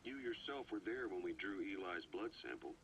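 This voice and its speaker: A grave, skeptical military second-in-command from a game, with a very low voice. His delivery is deliberate and serious, over faint tape noise. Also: lively intonation, a bit bright, poor audio. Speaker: video game character, military commander's aide. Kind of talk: scripted game dialogue, briefing on a cassette tape.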